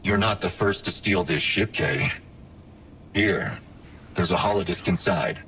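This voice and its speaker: A man playing a stern, distrustful droid with formal, deadpan delivery in a video game. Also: low voice, measured pace, a little hiss or hum, heavily acted. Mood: matter-of-fact. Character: stern, distrustful battle droid, male, coolly precise.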